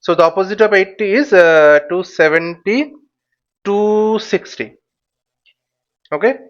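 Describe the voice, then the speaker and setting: A man giving an informal step-by-step tutorial as an instructor, speaking haltingly and repeating his words and phrases. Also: high voice. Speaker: flight simulation instructor. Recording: dull, lo-fi microphone sound.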